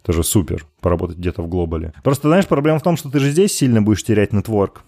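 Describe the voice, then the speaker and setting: A man from Chelyabinsk, a podcast guest talking in a quick, chatty, joking way. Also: fairly low pitch, lively intonation, vocal fry, warm tone, dry recording, clean studio sound. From Chelyabinsk, Russia. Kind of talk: casual interview chat.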